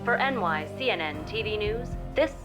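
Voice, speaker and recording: An actress playing a TV news anchor in an even, formal newsreader delivery. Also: female voice, mid-range voice, normal speed, natural voice. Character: crisp female TV news anchor. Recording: game audio with music.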